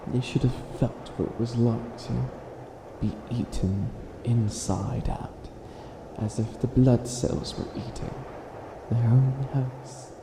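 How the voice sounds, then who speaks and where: A man playing a centuries-old, boastful but bumbling evil witch in an ASMR comedy roleplay, speaking in a theatrical, halting, grandiose way. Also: on the low side, vocal fry, reverberant, noisy background. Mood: musing.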